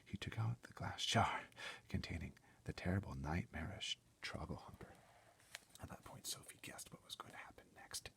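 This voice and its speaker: Guy reading a kids book out loud, low gravelly voice, really dramatic, drops to whispers a lot. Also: lively intonation, normal speed. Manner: theatrical, suspenseful, often dropping to a whisper. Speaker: storybook reader. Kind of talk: dramatic children's read-aloud narration.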